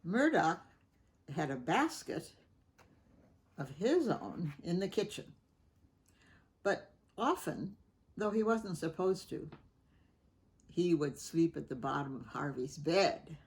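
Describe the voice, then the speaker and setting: A woman reading a picture book aloud to children, gently chatting and stopping to ask the listener questions. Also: low-pitched, very animated, slow pace, pauses often, slightly rough. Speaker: storybook reader.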